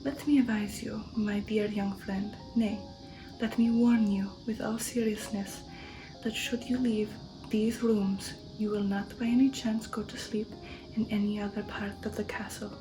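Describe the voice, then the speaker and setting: A woman voicing a courteous, ominous male vampire count from a gothic novel, hushed, formal and deliberate. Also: medium pitch, steady tone, far from the mic, over music, natural voice, poor audio. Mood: grave warning.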